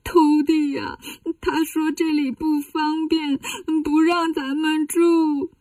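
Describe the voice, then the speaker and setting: A woman puts on a sulky, aggrieved monk from a children's tale. He is meek and whiny, with a fairly high, soft-edged, husky voice. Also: a little fast, no room sound, distant mic. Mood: tearful, whiny. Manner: plaintive, sulky.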